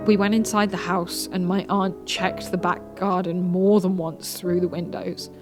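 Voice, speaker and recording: A woman narrating a scary story in a measured manner with dramatic pauses. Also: on the low side, little pitch movement. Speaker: narrator reading submitted scary stories. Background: music.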